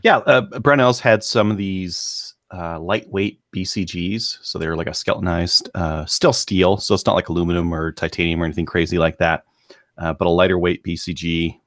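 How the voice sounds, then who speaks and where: Guy with a really deep voice, dry humor, explaining stuff, close mic. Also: moderate pace, a bit bright, no echo. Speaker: podcast co-host. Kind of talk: casual chat.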